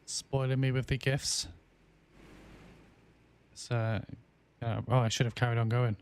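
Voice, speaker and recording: A man live-streaming a farming game, chatting casually with viewers and answering their messages, often pausing with ums and restarting his sentences. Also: mid-range voice, little pitch movement, smooth. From England. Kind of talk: casual live-stream chat while playing.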